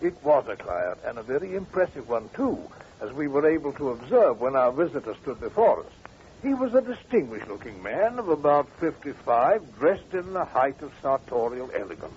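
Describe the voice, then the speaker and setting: An actor playing a genial older English gentleman, chatty and avuncular, telling stories in old radio drama style. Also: medium pitch, very animated, normal speed, lightly acted. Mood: genial reminiscing. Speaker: narrator and sidekick. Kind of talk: 1940s radio acting. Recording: muffled, faintly noisy old radio recording.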